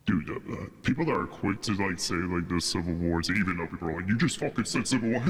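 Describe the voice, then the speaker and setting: Man, low register, slangy casual podcast talk, swears freely, long reverb on the voice. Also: lively intonation, fast. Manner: slangy and profane, frequent "dude" and "bro". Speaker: podcast speaker. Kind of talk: casual chat. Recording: reverberant hall-like echo.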